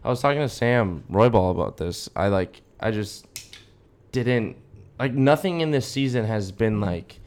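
Young adult man, American accent, slightly low pitch, casual slangy chat, informal podcast co-host. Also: expressive, unhurried, darkish tone.

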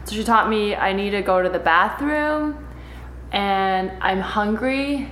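A young woman whose first language is Chinese, telling stories in a casual chat with quick asides. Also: mid-range voice, expressive, a bit bright, slight background noise. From United States. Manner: chatty storyteller, quick asides. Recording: roomy with faint ambience.

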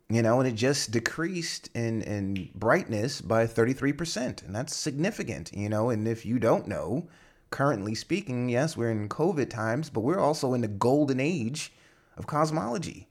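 A man's voice: mid-range voice, very animated, unhurried. A young man hosting a solo podcast, talking casually and rambling with many fillers and restarts. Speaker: podcast host.

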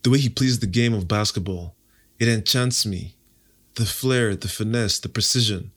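A young Nigerian man, Igbo his mother tongue, talks with a low-pitched voice and a cocky, playful assurance. He answers quick interview questions as if savoring his own lines. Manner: confident, playful, self-assured. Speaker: podcast host, interview guest.

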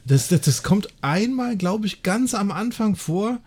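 Guy co-host commenting casually, voice a bit high but mellow and raspy. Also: animated, unhurried. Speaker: podcast co-host.

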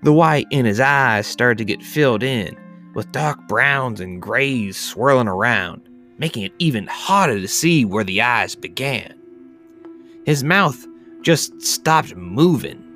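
A man playing a folksy, rustic yarn-spinner who tells a dark tale in a drawling, colloquial, theatrical way. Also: medium pitch, lively intonation, takes it slowly, soft background music, heavily acted. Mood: ominous, slow. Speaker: podcast host reading a tale. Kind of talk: theatrical dramatic storytelling.

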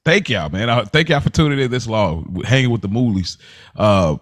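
A man's voice: on the low side, animated, fairly quick. American man hosting a casual review podcast. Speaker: podcast host.

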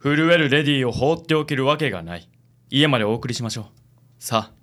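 A man playing a suave, theatrical gentleman with pompous, chivalrous, flowery speech in a comic radio drama. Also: mid-range voice, fast, natural voice. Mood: gallant. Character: suave, theatrical gallant man who fusses over ladies.

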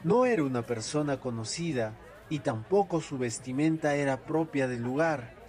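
A man's voice: medium pitch, animated, moderate pace, darkish tone, a faint crowd. A man narrating a folk tale as a storyteller.

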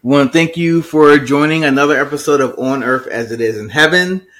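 A man hosting a faith podcast, praying in an earnest, steady cadence and introducing his guest. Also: fairly high pitch, fairly quick. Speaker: podcast host. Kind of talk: spoken prayer and show intro.

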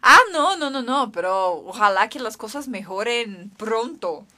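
A woman's voice: a little high, expressive, measured pace, pushed and bright. A woman from Mexico, a guest in a casual chat, talking in a chatty, teasing way.

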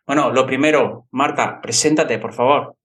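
A man from Andalusia with a Spanish accent from Spain, hosting a language-learning podcast and speaking in a clear, instructional way. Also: mid-range voice, moderate pace, a bit bright. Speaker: podcast host and language teacher. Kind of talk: scripted podcast introduction and interviewing.

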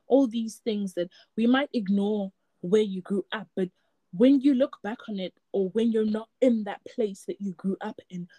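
A woman's voice: mid-range voice, moderate pace, mellow, no echo. A woman from Africa, reflecting in a casual chat and often repeating words as she searches for them. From South Africa.

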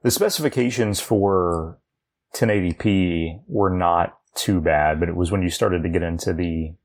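Deep, gravelly-voiced man. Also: measured pace, darkish tone. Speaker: podcast co-host. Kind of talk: casual chat about video game news.

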